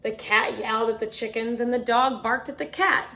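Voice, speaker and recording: A woman's voice: a little high, creaky, slight background noise. A woman reading a picture book aloud to children like a teacher, expressively, with dramatic emphasis and chatty asides. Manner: expressive, dramatic emphasis, drawn-out refrains, chatty questions to young listeners. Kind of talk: animated children's storytime read-aloud with asides to listeners.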